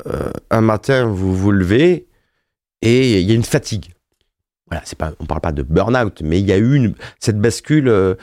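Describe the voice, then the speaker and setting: A man's voice: on the low side, darkish tone, dry recording, clean studio sound. A man in his fifties, an author being interviewed on radio, talking with many hesitations and restarts. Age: 50s. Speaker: author and wine journalist.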